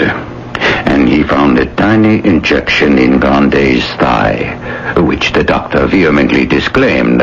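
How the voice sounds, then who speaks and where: An actor playing a grave, courteous police officer in a radio drama, speaking formally and deliberately. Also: male voice, very deep voice, creaky, heavily acted. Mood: grave, measured. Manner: formal, deliberate, politely apologetic. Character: grave, courteous male police officer delivering grim news. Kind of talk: 1980s radio drama acting. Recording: dull, noisy old radio broadcast.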